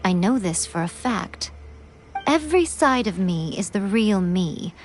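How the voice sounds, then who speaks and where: An actress playing a cunning, self-assured shrine maiden in a video game, playful, coy and knowing. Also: female voice, medium pitch, lively intonation, unhurried, lightly acted. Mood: airy, knowing. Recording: music under the voice.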